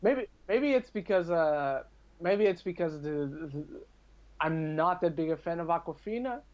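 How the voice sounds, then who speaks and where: A man's voice: on the high side, expressive, normal speed, dull audio. A man in his twenties chatting casually about films, hesitating and repeating words as he thinks. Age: 20s. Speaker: podcast participant. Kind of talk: casual film chat.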